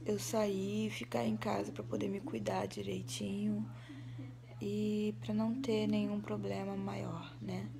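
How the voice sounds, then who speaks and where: A woman in her twenties with a Brazilian Portuguese accent, hoarse from a cold, chatting casually to the camera in a vlog and often dropping into a breathy half-whisper. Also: medium pitch. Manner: relaxed, chatty, drawn-out words. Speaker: vlogger. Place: small bedroom indoors. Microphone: phone or webcam at arm's length.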